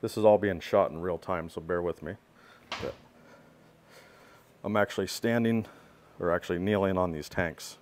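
A man's voice: sits low, normal speed, long pauses, a little hiss or hum. A man giving a casual hands-on walkaround of equipment he built, explaining details while filming with a handheld phone in a workshop. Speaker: pressure washing skid builder.

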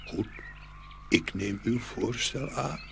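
A man's voice: lowish voice, slow pace, creaky, noisy background, full character voice. A man playing a hapless, fearful fellow in an audio fairy tale, speaking hesitantly and respectfully. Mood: pondering, resolved. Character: hapless, fearful man who bargains for riches.

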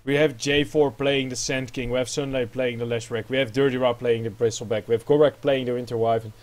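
Give me a chatty male esports caster with a middle-pitched voice. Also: fairly even intonation, a little fast, a little mellow. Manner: chatty, laughs often. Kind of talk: esports commentary.

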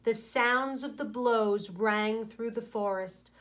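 A woman in her forties, a teacher reading aloud to children and explaining, with deliberate, pausing phrasing. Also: a little high, fairly bright tone.

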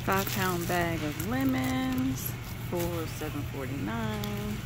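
A woman's voice: mid-range voice, expressive, heavy background noise. A woman vlogging a shopping trip, walking through a big warehouse store and reading out prices item by item on her phone. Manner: unhurried, reads out prices item by item. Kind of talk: casual shopping walkthrough. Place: large warehouse store, indoors. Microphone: handheld phone, voice behind camera.

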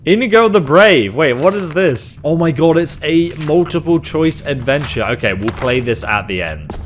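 A man commentating a video game he is playing, excitable and quick to exclaim. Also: slight background noise. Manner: excitable, exclaims with big pitch jumps. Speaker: gaming YouTuber. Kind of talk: let's-play commentary. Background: game music.

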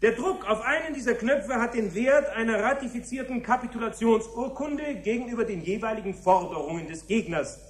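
A man's voice: very high-pitched, a little fast, few pauses, echoey room. A man playing an officious, courteous tour guide in a radio play, speaking in formal phrases. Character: officious male tour guide, polite and proper.

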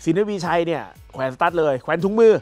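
A man's voice: on the high side, fast, dry recording, soft background music. A middle-aged man giving conversational football analysis as a pundit in a TV studio. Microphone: lapel mic.

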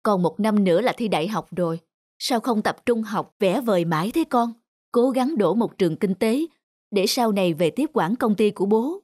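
A woman voicing a strict mother in an animated drama, admonishing her teenager. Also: mid-range voice, moderate pace, no room sound, clean studio sound, close to an everyday voice. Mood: stern concern.

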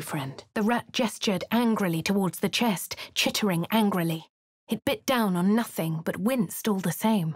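An actress with a posh English accent voicing a composed young female adventurer in a game, crisp and clipped. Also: mid-range voice, no echo, studio quality. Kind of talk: game voice lines and narration.

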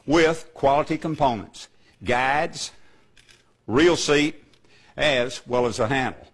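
A man's voice: medium pitch, slow pace, fairly bright tone, breathy edge. A middle-aged man hosting a comic fishing show outdoors by a lake, talking in a folksy way and cursing mildly when things go wrong. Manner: folksy, exclaims at mishaps.

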